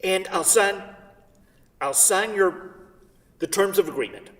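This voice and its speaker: A man acting an eager, insistent gentleman buyer who pleads formally in a staged play reading. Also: high voice, expressive, slow pace, full character voice. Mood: pleading. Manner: formal, insistent, repeating pleas. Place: theatre stage. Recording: reverberant theatre hall, distant mic.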